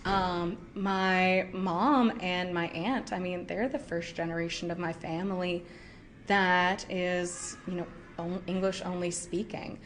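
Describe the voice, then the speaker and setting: Young woman, lively museum guide. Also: fairly low pitch, slow, slight background noise. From Cow Island, Louisiana. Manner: lively, with frequent fillers and laughs. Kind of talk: interview answers. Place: indoors at a heritage village, on location.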